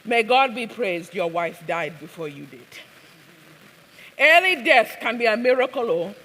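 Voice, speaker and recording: A woman's voice: fairly high pitch, lively intonation, a little slow, a bit bright, pitched above the performer's own voice. A woman performing a haunted, accusing older widow in a stage piece, with a non-American accent and an insistent, commanding manner. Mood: anguished, fierce. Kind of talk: dramatic stage monologue. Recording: echoey hall, noticeable background noise.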